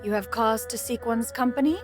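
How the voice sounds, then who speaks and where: A woman's voice: fairly high pitch, brisk, natural voice. A woman playing a proud immortal bird spirit in human form in a video game, speaking in a stately, formal, imperious manner. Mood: composed. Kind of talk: animated game voice acting. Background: music.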